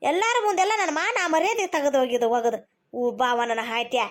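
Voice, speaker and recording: A woman's voice: high voice, sing-song, brisk. A woman with a North Karnataka accent voicing a cantankerous, aggrieved older mother-in-law in a cartoon comedy, scolding and repeating herself insistently. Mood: furious scolding.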